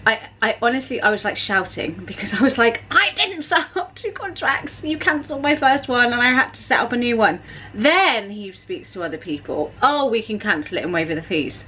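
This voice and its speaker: A woman's voice: on the high side, animated, a little fast, bright, forceful tone, a little hiss or hum. A woman, chatting to camera in her kitchen and telling an exasperated story. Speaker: vlogger. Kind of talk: casual chat to camera. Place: home kitchen, indoors. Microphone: camera at arm's length.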